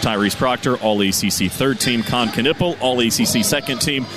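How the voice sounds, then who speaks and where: A man's voice: medium pitch, normal speed, over music. A man calling a basketball game on radio, reading lineups and stats from an arena broadcast position. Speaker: radio play-by-play announcer. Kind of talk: pregame sports radio broadcast.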